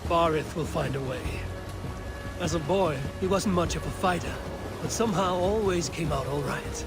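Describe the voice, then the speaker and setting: An actor playing a blunt, commanding Viking warrior in a video game, speaking in terse, direct, wary lines. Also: male voice, a little high, normal speed, over music, close to an everyday voice. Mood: curious, reflective. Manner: terse, direct, plain-spoken. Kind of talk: video game cutscene acting.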